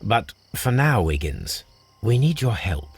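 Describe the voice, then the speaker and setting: An actor playing a brilliant, unflappable Victorian detective in a game, with an English accent and precise, formal, measured delivery. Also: male voice, fairly low pitch, very animated, slightly rough, full character voice. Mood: confident. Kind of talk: scripted game dialogue and deductive narration.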